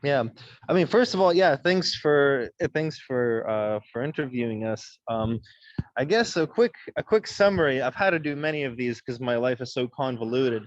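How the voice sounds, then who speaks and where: A man's voice: mid-range voice, expressive, moderate pace, dry recording, highs cut off. A young man from Ukraine whose first language is Russian, answering as an interview guest, hesitant with many fillers and restarts.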